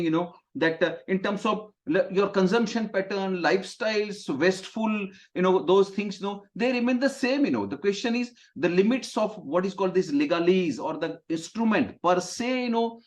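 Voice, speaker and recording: A man from India whose first language is Gujarati, moderating a webinar over a video call and rambling with many fillers. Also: sits high. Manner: rambling, heavy "you know" fillers and restarts. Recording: dull lo-fi call audio.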